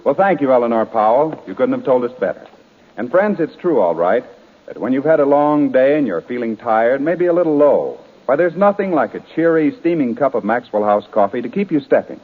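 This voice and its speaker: A male announcer delivers radio advertising copy with a very animated, swooping delivery and mid-pitched voice. It sounds like a narrow, muffled broadcast from the 1930s. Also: fairly quick.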